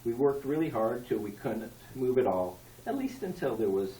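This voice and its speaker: Guy reading a family poem out loud, really lively pitch, echoey room with faint noise. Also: normal speed. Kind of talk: reading a rhyming poem aloud at a party. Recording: echoey room, distant mic, background noise.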